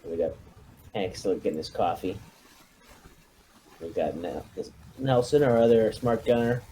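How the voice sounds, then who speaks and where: A man's voice: fairly low pitch, animated, measured pace, mellow, slight background noise, poor audio. A man leading a squad in a tabletop roleplaying game, briefing it with military jargon and command phrasing over an online call.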